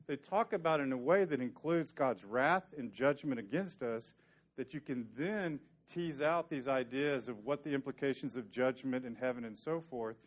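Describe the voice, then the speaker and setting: A man teaching a sermon, earnest and conversational, walking through scripture and sometimes joking dryly with the congregation. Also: mid-range voice. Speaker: preacher.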